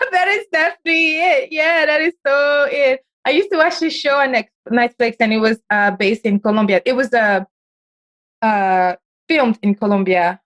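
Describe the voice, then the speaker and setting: A woman hosting a podcast, chatting casually with a guest, animated and quick to laugh, often jumping up in pitch. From United States. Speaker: podcast host. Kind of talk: casual interview chat.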